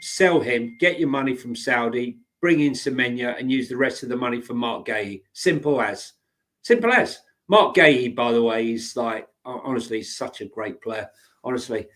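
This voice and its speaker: A man's voice: mid-range voice, expressive, normal speed, fairly bright tone. A man co-hosting a football podcast, blunt and opinionated, often repeating his words. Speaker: podcast co-host. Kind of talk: casual football chat.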